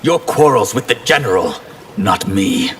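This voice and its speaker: An actor playing a cool, disdainful water sorcerer warrior in a fighting video game. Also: male voice, on the low side, lively intonation, unhurried, fairly bright tone, in a large hall, a little hiss or hum.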